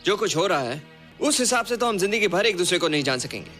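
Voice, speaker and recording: An actor playing a brash, jealous young suitor in a film drama, mixing in English. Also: male voice, sits high, big pitch swings, fast talker, a bit bright, soft background music. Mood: jealous, confrontational. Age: young adult.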